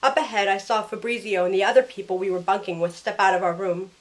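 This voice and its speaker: A woman acts out a caring young heroine telling her own story in an audio drama. It is scripted first-person narration. Her voice has a medium pitch. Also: steady tone, quick pace, natural voice, rough recording. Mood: tense narration.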